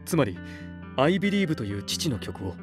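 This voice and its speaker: An actor playing an earnest, gentle music label head in an anime voice drama, speaking sincerely and encouragingly. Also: male voice, mid-range voice, big pitch swings, moderate pace, natural voice. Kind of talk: Japanese anime voice drama acting.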